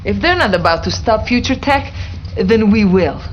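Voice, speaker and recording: An actress playing a grave, patriotic Soviet intelligence officer giving dramatic briefings in a game cutscene. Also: female voice, mid-range voice, lively intonation, normal speed, a little hiss or hum, lightly acted, poor audio. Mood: grave, urgent.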